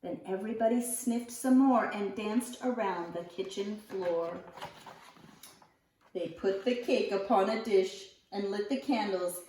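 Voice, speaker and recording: A woman reading a rhyming children's picture book aloud in an expressive, theatrical storyteller's manner. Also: mid-range voice, unhurried. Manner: theatrical, expressive, with acted sighs and emphasis. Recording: roomy echo, distant microphone.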